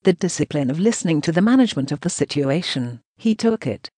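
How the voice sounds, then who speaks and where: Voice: mid-range voice, very animated, brisk, mellow, no room sound. A synthetic text-to-speech voice reading translated news narration in a choppy way, speaking punctuation marks aloud.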